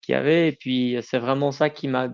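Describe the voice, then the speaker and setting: A man's voice: medium pitch, fairly even intonation, gravelly, smooth, muffled recording. A middle-aged man answering interview questions informally, often breaking off and rephrasing. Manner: informal, halting, self-correcting. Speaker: podcast guest, company founder.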